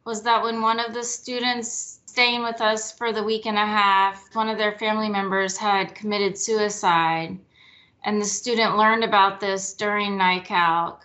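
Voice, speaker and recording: A woman's voice: mid-range voice, level tone, measured pace, sharp tone. A woman hosting an interview, speaking in a heartfelt, sincere way. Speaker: program host. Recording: dull lo-fi audio.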